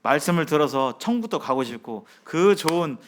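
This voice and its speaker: A male pastor preaching a sermon in a measured way, with frequent fillers and rhetorical questions to the congregation. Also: on the high side, animated.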